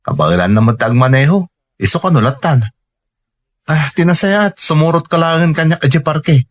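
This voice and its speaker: A man's voice: fairly high pitch, expressive, moderate pace, natural voice. A Filipino man playing a caring, teasing friend and fellow overseas worker in a radio drama. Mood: teasing, coaxing.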